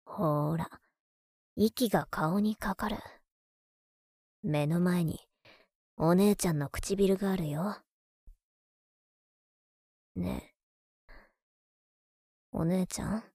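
A woman voicing a clingy, teasing younger brother who dotes on an older sister, speaking softly and intimately in an audio drama. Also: fairly low pitch, very slow, pauses often, slightly rough, no echo, studio quality, exaggerated voice. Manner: soft, intimate, coaxing, sometimes dropping to whispers. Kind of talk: intimate Japanese audio drama, murmured monologue to a sleeping listener.

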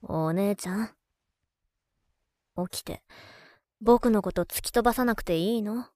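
A woman voicing a clingy, teasing younger brother who dotes on an older sister, speaking softly and intimately in an audio drama. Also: medium pitch, a little slow, no echo, studio quality, natural voice. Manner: soft, intimate, coaxing, sometimes dropping to whispers. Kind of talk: intimate Japanese audio drama, murmured monologue to a sleeping listener.

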